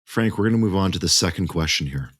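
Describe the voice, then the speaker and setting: A man's voice: low-pitched, animated, quick pace, fairly bright tone, breathy edge, no echo, studio quality. A man hosting a business podcast, asking brief, direct interview questions. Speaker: podcast host.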